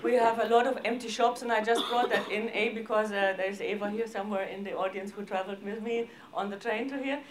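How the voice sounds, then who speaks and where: Woman giving a slide talk, informal, lots of hesitating and broken sentences, medium pitch. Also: steady tone, a little fast, hardly any pauses, low-quality recording. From Austria. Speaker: arts organisation director presenting projects. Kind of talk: seminar presentation with slides.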